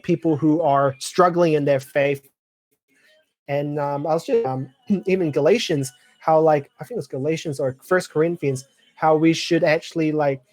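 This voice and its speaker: A man in his twenties chatting casually on a live stream, rambling with many fillers and clearing his throat now and then. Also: fairly high pitch, a little mellow. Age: 20s. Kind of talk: casual live chat reading viewer comments.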